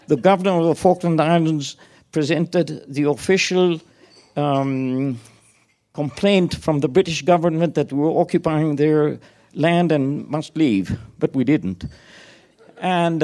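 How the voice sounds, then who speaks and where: A man's voice: fairly high pitch, darkish tone. An older man from Chile whose first language is Spanish, telling a rambling personal story on stage, chuckling and restarting his sentences.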